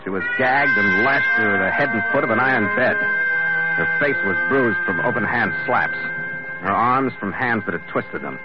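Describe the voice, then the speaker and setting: Casting: man as hardboiled ship captain narrator, dry and terse, on a muffled old radio recording with loud music. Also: on the low side, normal speed, natural voice. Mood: grim narration. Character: weary sardonic ship captain narrating his own adventure. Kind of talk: 1950s American radio drama acting with first-person narration.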